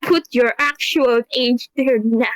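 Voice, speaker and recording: A woman's voice: slow pace, no echo, rough recording. A young woman chatting casually on a live stream, rambling and giggling often. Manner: giggly, rambling, high-pitched.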